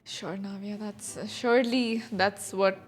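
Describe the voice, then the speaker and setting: A woman's voice: mid-range voice, steady tone, unhurried. A woman streamer giving casual, giggly live reactions to a game. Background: game music and dialogue.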